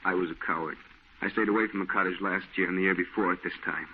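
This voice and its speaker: An actor playing a haunted, fearful, guilt-ridden painter in an old radio horror play. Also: male voice, low-pitched, steady tone, quick pace, slight background noise, natural voice. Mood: brooding confession. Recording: dull, band-limited old radio recording.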